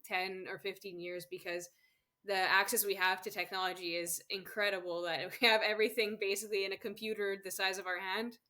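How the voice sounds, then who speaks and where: A woman's voice: medium pitch, flat delivery, fairly quick, pushed and bright. A woman from Canada, a guest on an interview show, chatting casually about travel and laughing often. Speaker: guest, solo travel expert.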